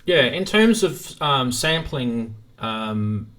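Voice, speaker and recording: A man's voice: medium pitch, sing-song, slow pace, fairly bright tone. A man hosting a live stream in a casual, informal chat, thinking aloud as he goes.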